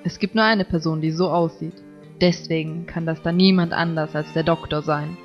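A woman's voice: low-pitched, steady tone, normal speed, muffled recording, soft background music, close to an everyday voice. A woman playing a fussy, earnest, obsessive teenage boy in an anime audio drama, with a solemn, finicky manner. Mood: pensive.

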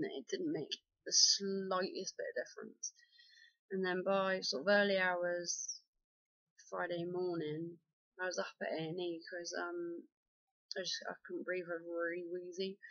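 Young vlogger, gravelly fry, dull dry webcam sound, rambly casual talk. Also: male voice, high-pitched, fairly bright tone, no echo. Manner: rambling, many fillers and restarts. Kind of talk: casual personal chat to camera. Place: dim bedroom indoors. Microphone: webcam at close range.

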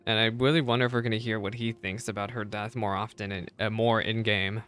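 A young man streaming games, giving casual reaction commentary in a chatty, halting way with repeated words. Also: on the low side, flat delivery, fairly bright tone, faint music behind. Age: young adult. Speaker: game streamer. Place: indoor streaming setup. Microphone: close desk microphone, headset worn.

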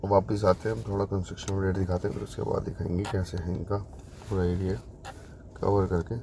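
A man's voice: sits low, little pitch movement, fairly quick, gravelly, warm tone, a little hiss or hum. A man giving a casual walkthrough commentary as a property consultant showing a site. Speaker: real estate consultant giving site updates.

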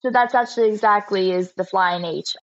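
An 18-year-old woman from Florida answering interview questions, soft-spoken and brief, with frequent small laughs. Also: medium pitch, brisk, dry recording, dull audio. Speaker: guest, polo player.

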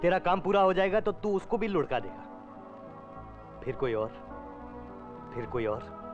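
A young man playing a bitter, vengeful gangster in a film spoof, with a threatening, accusing delivery. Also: on the high side. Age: young adult. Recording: muffled audio under clear music.

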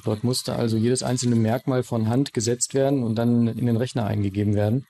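A man in an explanatory interview, speaking formally and technically as a police expert, with halting phrasing. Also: medium pitch, steady tone, warm tone. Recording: faint background noise.

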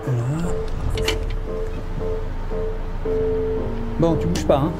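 An actor playing a weary, grumbling clerk who mutters colloquially to himself in a naturalistic audio drama. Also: male voice, a little high, normal speed, loud music behind the voice, close to an everyday voice. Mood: hurried, grumbling. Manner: muttering to himself and his cat, exasperated, colloquial. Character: weary, grumbling young male notary clerk on the road.

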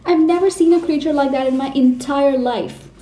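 Woman doing a high defiant little girl. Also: moderate pace, warm tone, natural voice. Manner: pleading, then screaming in protest. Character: defiant clever little schoolgirl. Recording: roomy, distant mic.

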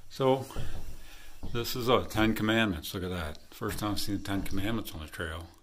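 A middle-aged man talks into his handheld phone as he walks outdoors, his voice sitting a little low. He rambles easily, full of fillers and trailing pauses. Faint outdoor ambience hangs behind him. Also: a little hiss or hum. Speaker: hiker filming his trail vlog. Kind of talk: casual walking commentary. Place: outdoors at a rural hostel and open hilltop meadows. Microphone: handheld phone camera.

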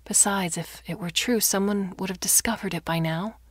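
A woman's voice: mid-range voice, moderate pace, slightly rough, no room sound, clean studio sound, close to an everyday voice. A woman voicing a reserved, proper elderly woman with a skeptical, guarded temper in a short-story reading.

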